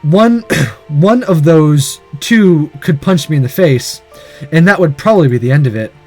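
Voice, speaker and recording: A man's voice: a little high, lively intonation, a little slow, a little mellow, soft background music. A man reading a visual novel aloud, mixing dramatic narration with casual asides.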